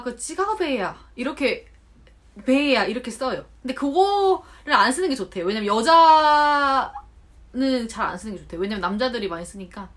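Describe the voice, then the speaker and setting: Korean woman in her 30s chatting to the camera, voice a bit high, jumps all over, acts out what people said. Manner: chatty, animated, acts out quoted remarks. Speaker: vlogger. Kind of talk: unscripted talk to camera. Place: home in Japan.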